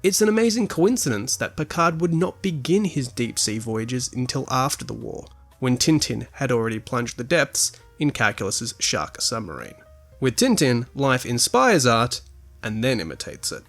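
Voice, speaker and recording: A man's voice: medium pitch, big pitch swings, moderate pace, a bit bright, faint music behind. A man hosting a podcast, reading a scripted review in an articulate, essay-like way with a dry touch. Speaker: podcast host.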